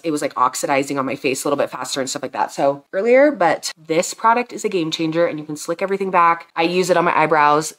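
A pregnant young woman in her twenties chatting casually about beauty products from her bedroom, congested and enthusiastic, rambling with fillers. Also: sits low. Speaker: beauty vlogger. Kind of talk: casual product review chat. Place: bedroom at home, indoors.

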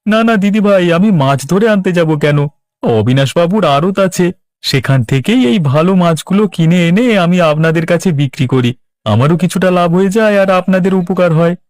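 A man's voice: high voice, expressive, brisk, a little mellow, dry recording. A man voicing an eager, deferential village fish seller in a cartoon, with an obliging manner. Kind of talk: Bengali cartoon voice acting.

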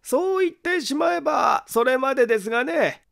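Man voicing shifty, high-pitched, self-important oddball Akai. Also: expressive, normal speed, creaky, fairly bright tone, exaggerated voice. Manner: pompous, coy, excuse-making.

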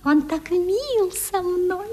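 A flighty young girl in a 1970s radio play. Her voice is extremely high and very lively in pitch, drawn out slowly in an affected, playful, coquettish way. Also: full character voice. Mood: giggly, coy. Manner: affected, girlish, singsong.

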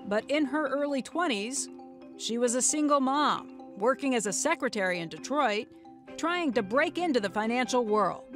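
High-voiced American woman narrating, music behind. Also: lively intonation, normal speed. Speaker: documentary narrator. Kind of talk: scripted documentary narration.

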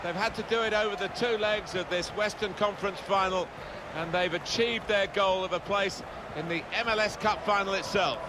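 A man as an excited, polished play-by-play football commentator in a video game. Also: high-pitched, normal speed, few pauses, lightly acted. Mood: excited, measured. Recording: loud stadium crowd noise.

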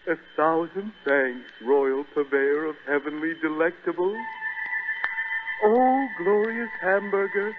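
A man's voice: a little high, slow, music in the background. A man playing a pompous, food-craving cartoon moocher in 1930s radio style, florid and theatrical. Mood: grandly pleased. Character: pompous, pleading, hamburger-craving cartoon glutton. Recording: tinny, hissy 1930s radio recording.

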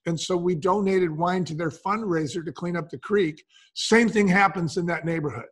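A man's voice: sits high, normal speed, husky. A man telling anecdotes conversationally as an interview guest, acting out the dialogue as he goes. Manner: acts out quoted dialogue. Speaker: interview guest, wine company co-founder.

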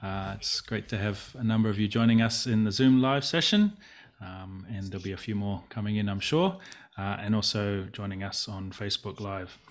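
A man in his forties with a fairly low voice hosts an online session. He introduces guests courteously, pausing between phrases as he speaks over a video call. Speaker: host and interviewer. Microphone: computer mic on video call.

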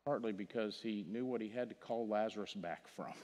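An older man from Missouri with a Missouri accent, preaching a funeral sermon in a church sanctuary, earnest, repeating words and dropping to a hush on key phrases. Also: on the low side, moderate pace. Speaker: pastor. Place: large church sanctuary stage. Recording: long hall reverb from the church.

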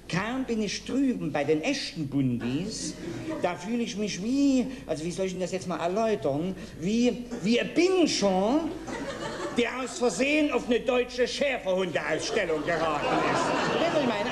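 An actor playing an anxious, eager East German patient in a stage cabaret sketch, jokey and fidgety, recorded live in a theater with an audience. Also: male voice, sits high. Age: middle-aged. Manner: fidgety, jokey, eager to please, ends barking. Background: audience laughter.

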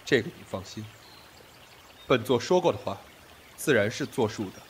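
A man's voice: mid-range voice, expressive, moderate pace, slight background noise, natural voice. A man acting a commanding, possessive sect master in an ancient Chinese audio drama, with an imperious, controlled delivery. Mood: cool, controlled.

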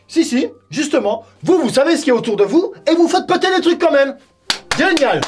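A man's voice: very high-pitched, animated, brisk. An actor in his forties playing a short-tempered, sarcastic medieval warrior in a comedy sketch, recorded on a stone cellar set. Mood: exasperated. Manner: mock-friendly, then sarcastic and insulting, shouting at times. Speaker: warrior who owns the wine cellar.

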